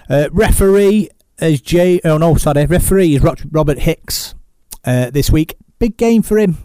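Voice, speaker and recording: A man's voice: a little high, warm tone, no room sound. A man presenting a sports radio show in a loose, conversational way, sometimes correcting himself.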